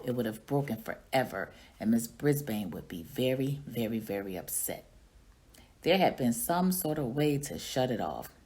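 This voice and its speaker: A woman reading a children's story aloud in an expressive storytelling manner. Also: a bass voice. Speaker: reading coach or teacher reading aloud.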